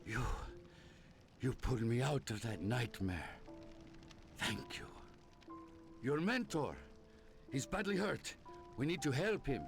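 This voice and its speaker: Slow, theatrical, remorseful male captain. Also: mid-range voice, animated. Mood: grateful, urgent. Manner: theatrical, slow, confessional delivery. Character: proud, remorseful male captain, a disgraced jilted duelist. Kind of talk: dramatic video game voice acting. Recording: music behind the voice.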